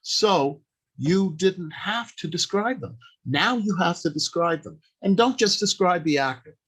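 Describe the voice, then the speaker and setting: A man giving an instructional talk as a workshop speaker, deliberate and emphatic, speaking in short declarative phrases with pauses. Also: sits high, lively intonation, fairly bright tone, husky, poor audio.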